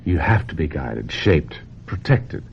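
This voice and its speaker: A domineering film director in a radio drama, with a low voice. His delivery swings widely in pitch, theatrical and condescending, leaning on key words to manipulate. The recording is somewhat dull. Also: sing-song, creaky, slight background noise, heavily acted. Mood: coaxing, controlling. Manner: theatrical, insistent, condescending, with deliberate emphatic phrasing.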